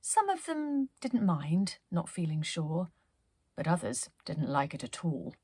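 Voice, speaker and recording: A woman hosting storytime, narrating a children's story expressively and deliberately, with hushed phrase endings. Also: sits low, big pitch swings, husky, no room sound. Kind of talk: animated children's story reading.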